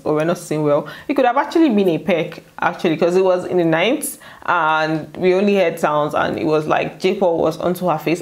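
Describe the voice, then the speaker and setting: A woman's voice: fairly low pitch, darkish tone. A Nigerian woman hosting a casual gossip recap, chatty and colloquial, with playful asides. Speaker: YouTube host recapping a reality show.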